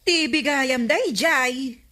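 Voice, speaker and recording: Sharp, gossipy Ilocano village neighbour. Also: female voice, high-pitched, takes it slowly, exaggerated voice. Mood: gossipy, envious. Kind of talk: Ilocano radio comedy drama acting.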